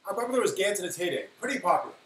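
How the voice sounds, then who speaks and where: A man's voice: sits high, very animated, racing, fairly bright tone. Offhand man in an echoey room. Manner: offhand, thinking aloud. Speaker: live stream host. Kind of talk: casual live stream chat. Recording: roomy echo, faint hiss.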